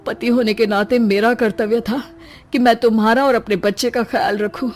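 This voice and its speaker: A woman's voice: on the high side, steady tone, moderate pace, close to an everyday voice. A woman voicing a fretful young husband in a cartoon story, speaking in a complaining, whiny manner. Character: anxious young husband, whiny, fearful of pain.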